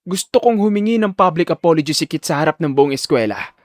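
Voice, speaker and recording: Man acting a male high school student, audio drama, high voice, defiant, determined, formal, dry sound. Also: animated, fast talker, dry recording, close to an everyday voice. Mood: controlled anger. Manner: firm, formal, respectful but challenging.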